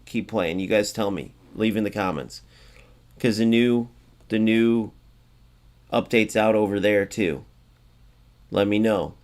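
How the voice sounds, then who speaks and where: A man's voice: fairly low pitch, measured pace, long pauses, darkish tone. A man hosting a gaming channel, chatting casually to viewers and thinking aloud as he adds up points. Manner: thinks aloud, muttering sums to himself; chatty, addressing viewers as guys. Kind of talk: casual game commentary.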